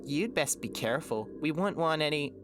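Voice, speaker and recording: A man's voice: fairly high pitch, lively intonation, normal speed, music in the background, pitched above the performer's own voice, natural voice. A man voicing a menacing female bully in a sneering, mocking way. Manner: sneering, taunting.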